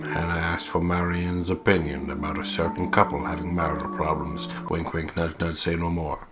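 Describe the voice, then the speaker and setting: A man with a very low voice gives casual gaming commentary and reads story text aloud. He banters with plenty of swearing while guitar music plays loudly behind him. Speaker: let's play co-host. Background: guitar game music.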